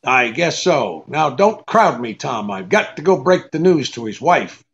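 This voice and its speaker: An actor playing a wary, hard-boiled private detective, curt and sardonic, in 1940s radio drama style. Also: male voice, medium pitch, sing-song, measured pace, creaky, highs cut off. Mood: terse, guarded.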